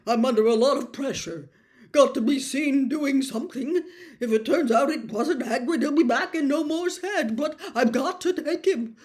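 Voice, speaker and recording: A woman's voice: high-pitched, moderate pace, a little mellow, voice pushed up, close to an everyday voice. A woman voicing an anxious, self-justifying male government minister in a storybook, in clipped, insistent phrases. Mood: pressured, insistent.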